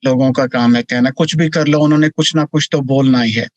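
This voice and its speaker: A man hosting an interview, asking questions in a rapid, loose manner, saying "you know" often. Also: medium pitch, fairly even intonation, no room sound, dull audio. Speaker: interview host.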